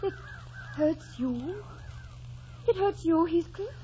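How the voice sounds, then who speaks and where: A woman's voice: very high voice, expressive, slow, dark tone, exaggerated voice. An actress playing an impulsive, romantic young woman in love in an old radio drama. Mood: tender pleading. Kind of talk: 1940s radio acting. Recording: muffled old radio broadcast, faint noise.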